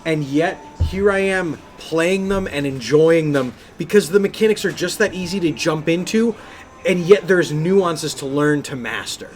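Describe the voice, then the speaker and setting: A man co-hosting a gaming podcast, talking casually and with animated enthusiasm about games. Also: on the high side, measured pace. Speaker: podcast co-host.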